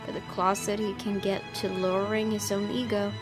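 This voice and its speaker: Woman, gaming host, dramatic first-person story reading, mid pitch, prominent background music. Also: level tone, brisk. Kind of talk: dramatic narration of a visual novel, first person. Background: game music.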